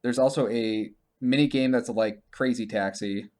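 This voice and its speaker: A man's voice: medium pitch, fairly even intonation, moderate pace, a little mellow. A man hosting a video game podcast, chatting casually about gaming news in a rambling, stammering way and often repeating himself.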